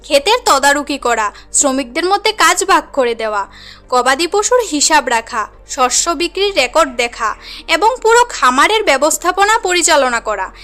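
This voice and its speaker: A woman's voice: high-pitched, very animated, moderate pace, pushed and bright, soft background music. A woman reading scripted storybook narration for a cartoon tale.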